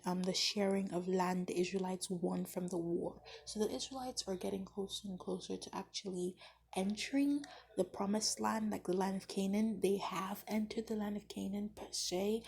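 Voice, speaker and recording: Soft-spoken husky young woman. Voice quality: low, husky, gravelly voice. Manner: soft, half-whispered, flat delivery with fillers. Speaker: host of a daily Bible chapter series. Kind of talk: casual devotional Bible reading and reflection. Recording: low-quality home recording.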